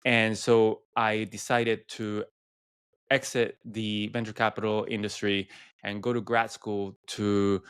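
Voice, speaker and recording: Smooth, low-voiced man from Venezuela. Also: level tone, slow, fairly bright tone, dry recording, clean studio sound. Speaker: podcast guest. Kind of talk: personal storytelling in an interview.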